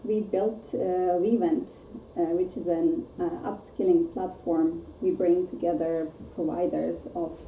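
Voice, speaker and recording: A woman's voice: on the low side, steady tone, measured pace, few pauses, mellow. Woman from Turkey, distant roomy sound. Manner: friendly, laughs easily. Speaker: guest, startup co-founder. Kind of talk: casual podcast chat. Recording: distant, roomy with faint noise.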